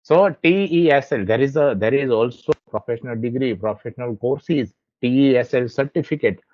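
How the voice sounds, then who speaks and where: A man's voice: mid-range voice, fairly quick, dry recording. A man with an Indian Gujarati accent, giving a classroom lecture and often checking that the listeners follow. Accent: Indian English, Gujarati. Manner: checks understanding with repeated questions. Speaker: teacher educator. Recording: dull lo-fi, dry sound.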